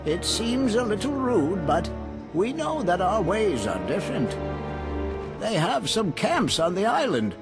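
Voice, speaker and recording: High voiced alien villager for a game, creepily friendly n curious, really slow, music behind. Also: male voice, very animated, full character voice. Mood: polite, guarded. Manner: polite, oddly fascinated, drawn-out. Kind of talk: video game cutscene acting.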